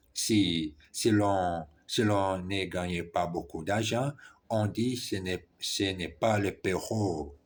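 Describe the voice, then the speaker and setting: Slow, husky man. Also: on the low side. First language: Portuguese. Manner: halting, careful, frequent self-corrections. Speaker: French learner. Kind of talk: reading French practice aloud.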